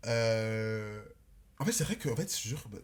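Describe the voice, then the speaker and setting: A man's voice: fairly low pitch, lively intonation, unhurried. A man in his twenties chatting casually as a podcast guest, rambling and slangy, dropping in English words. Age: 20s. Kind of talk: casual chat.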